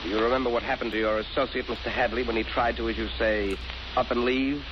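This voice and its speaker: A man plays a cold-blooded villain commanding a submarine in an old radio drama. He speaks formally and deliberately in a medium-pitched voice, gloating and menacing. The recording is muffled and very noisy. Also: fairly even intonation, natural voice. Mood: cold menace. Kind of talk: 1940s radio acting.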